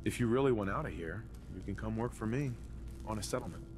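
An actor playing a cool, terse wasteland drifter as the hero of a video game. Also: male voice, on the low side, expressive, fast, background noise, close to an everyday voice. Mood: cool, blunt.

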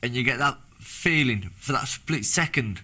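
A young British man, a former soldier, recounting his experiences in a halting, plain-spoken way in a documentary interview. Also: mid-range voice, lively intonation, pushed and bright. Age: young adult. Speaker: former soldier giving testimony. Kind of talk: documentary interview, personal recollection. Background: background music.

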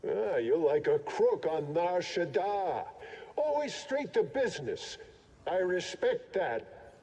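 A cynical mercenary with a fairly high, mellow voice that moves around a lot. He grumbles and snipes in video game dialogue, with long reverb. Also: big pitch swings, slow, full character voice. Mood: sardonic. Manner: colloquial, sardonic, grumbling. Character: grumbling, cynical mercenary raider, self-interested survivor. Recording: reverberant game capture, faint noise.

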